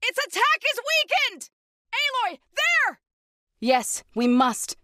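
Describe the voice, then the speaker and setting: Intense, bright-voiced young warrior woman. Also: very high voice, huge pitch swings, slow pace, no echo, clean studio sound, exaggerated voice. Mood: earnest, alert. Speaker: video game voice actor. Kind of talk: scripted game combat barks and companion lines.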